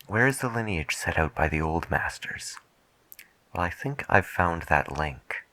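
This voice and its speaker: A man with a very deep, breathy voice speaks as a late-night radio host in a soft ASMR style. He takes his time, musing slowly in hushed tones. The sound is close and dry, with a crisp edge. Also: very animated, dry recording, poor audio. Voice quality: breathy, whispery. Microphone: close microphone.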